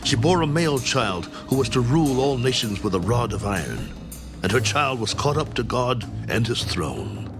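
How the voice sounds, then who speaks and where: A man narrating scripture as a drama, solemnly and with deliberate phrasing, over loud music. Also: medium pitch, sing-song.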